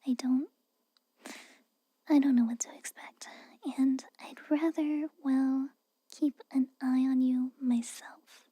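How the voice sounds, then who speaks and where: A woman playing a haughty, guarded but secretly caring young castle maid in a soft, breathy, whispered ASMR roleplay, hesitant and chiding. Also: high-pitched, little pitch movement, takes it slowly, long pauses, dry recording, full character voice. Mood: uneasy, suspicious. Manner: hesitant, reluctant, aloof and chiding.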